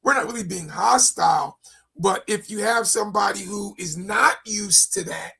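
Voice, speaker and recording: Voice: medium pitch, normal speed, vocal fry, sharp tone, husky. A co-host on a faith podcast who steers the discussion and asks pointed questions.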